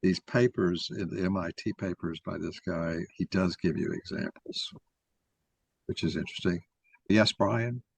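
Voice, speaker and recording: Very deep, gravelly man explaining his views. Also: measured pace, dry recording. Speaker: presenter of an argument. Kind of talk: explanatory talk with questions from participants.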